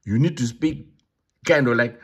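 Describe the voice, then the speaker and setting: A man's voice: medium pitch, moderate pace, a bit bright, breathy edge. A man with a Ugandan accent speaking in a casual monologue, emphatic and given to repeating himself. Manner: repetitive, emphatic. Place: London.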